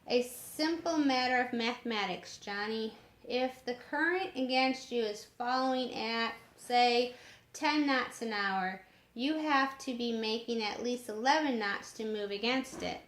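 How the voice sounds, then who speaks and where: A woman voicing a calm, matter-of-fact male ship's navigator in a storybook reading, in an echoing room. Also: a little high, measured pace. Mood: patient, explaining.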